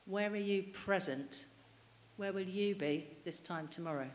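A woman's voice: lowish voice, unhurried, a bit bright. A middle-aged woman preaching a sermon at a church lectern mic, earnestly putting questions to the congregation. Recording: reverberant church hall, light hiss.

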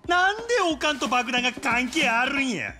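A male actor playing a brash, impatient, middle-aged yakuza boss with a Kansai accent and a gruff, mercurial manner in a game cutscene. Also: extremely high pitch, lively intonation, moderate pace, over music. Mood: irritated. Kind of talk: Japanese video game cutscene acting.

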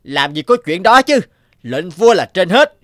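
A man's voice: high-pitched, sing-song, unhurried, a bit bright, heavily acted. A man voicing a stern, officious royal messenger in a cartoon, commanding and threatening. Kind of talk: animated moral tale dubbing. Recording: music behind the dialogue.

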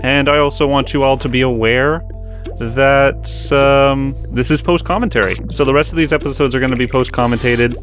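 A young man talks into a desk mic in a small bedroom setup, giving loose, self-deprecating commentary over old gameplay footage. His delivery is unscripted and candid, and soft music plays faintly underneath. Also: medium pitch, fairly even intonation, moderate pace. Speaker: gaming channel host. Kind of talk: post-recorded gameplay commentary.

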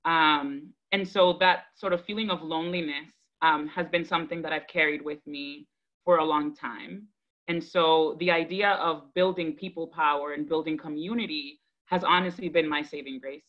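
A woman in her thirties, from the Dominican Republic and raised in Boston, telling her personal story to an online audience in an earnest, measured, thoughtful way. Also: low voice, steady tone. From Dominican Republic, raised in Boston from age five. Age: 30s. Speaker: guest speaker, education advocate. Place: indoors on a video call. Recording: dull, lo-fi video call audio.

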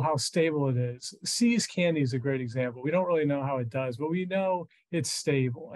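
A man in his fifties with an American accent and a fairly high-pitched voice. He explains things informally as a financial commentator, speaking conversationally over a video call from a room at home. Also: darkish tone, low-quality recording. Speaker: financial journalist interviewed as expert. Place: small furnished room at home.

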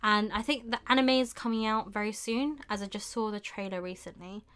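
A young woman hosting a casual live stream, thinking aloud in an unhurried way with murmured asides. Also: mid-range voice, a bit bright, clear, resonant tone. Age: young adult. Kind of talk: casual chat while shopping online. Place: small indoor room with bookshelves.

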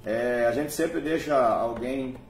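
A man hosting a live music stream, warmly thanking viewers by name in a casual, chatty way. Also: mid-range voice, slow. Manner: warm, chatty, reads out viewer names and thanks them. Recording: echoey room from far off, faint noise.

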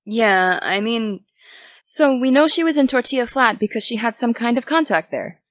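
A woman's voice: on the high side, lively intonation, normal speed, no room sound, natural voice. Anxious, caring teenage girl played by a woman, dry sound. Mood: uneasy, wry. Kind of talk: naturalistic audio drama dialogue.

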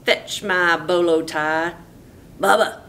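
A woman reading a storybook, voicing a hateful, lazy young cowboy who bosses and taunts loudly. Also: lowish voice, steady tone, a little hiss or hum. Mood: bossy. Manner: loud, taunting, drawn-out.

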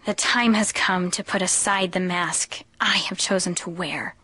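A woman playing a solemn, resolute figure, grave and measured, in a dramatic anime game monologue. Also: lowish voice, steady tone, bright, forceful tone, breathy edge, exaggerated voice. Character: solemn, resolute woman facing a dark destiny. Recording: echoey hall with long reverb.

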